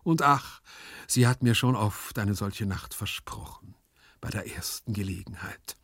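A man's voice: on the low side, measured pace, a bit bright, no room sound. A man voicing a corpulent, lovesick storybook nobleman who sighs and declaims with theatrical sentimentality. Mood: yearning. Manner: pathetic declamation, sighing, swooning.

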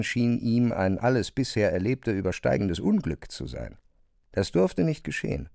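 A man narrating a literary radio drama from a script, in a measured, articulate storytelling manner. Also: medium pitch, vocal fry, darkish tone, no echo, clean studio sound.

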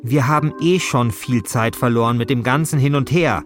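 A man's voice: medium pitch, moderate pace, faint music behind. A man narrating a scripted first-person podcast in a wry, self-deprecating manner. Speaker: host and narrator.

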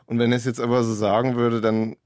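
A man speaking as an author in an unscripted discussion, halting and searching, often interrupting himself mid-sentence. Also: medium pitch, level tone, darkish tone, dry recording, clean studio sound. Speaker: author discussing his radio play. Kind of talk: unscripted panel conversation.

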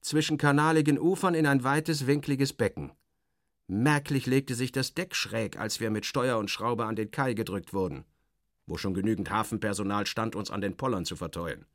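A man's voice: on the low side, moderate pace, dry recording, clean studio sound, lightly acted. An actor playing a sardonic, self-assured journalist, narrating his travels in a literary radio drama with wry, theatrical, clipped phrasing. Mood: observant, amused. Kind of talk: literary first-person narration, dramatic audio reading.

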